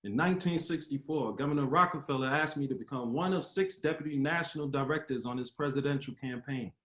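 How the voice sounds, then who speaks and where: A man's voice: mid-range voice, quick pace, a bit bright, close to an everyday voice. An actor playing an earnest baseball great, father and civil rights speaker in a dramatic table read, with oratorical delivery. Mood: reflective. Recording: roomy echo with music behind. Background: music.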